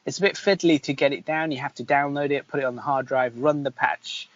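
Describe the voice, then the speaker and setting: A man's voice: medium pitch, steady tone, brisk, dry recording. Casual male podcaster, slightly muffled recording. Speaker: podcast co-host. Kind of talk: casual chat about games. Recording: dull lo-fi sound with faint noise.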